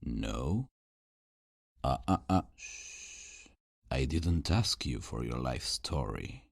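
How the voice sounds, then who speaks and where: A man's voice: very deep voice, big pitch swings, takes it slowly, vocal fry, no echo, studio quality, heavily acted. A man playing a smug, sadistic, centuries-old vampire in an ASMR roleplay, drawling with theatrical condescension. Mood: mocking.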